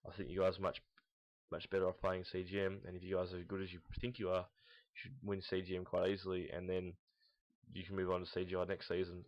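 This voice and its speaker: A young man giving casual, unscripted esports commentary in a rambling, halting, blunt way, recorded in lo-fi sound. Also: deep voice, monotone. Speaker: host of a gaming team audio log. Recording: dull lo-fi, roomy echo, mouse clicks.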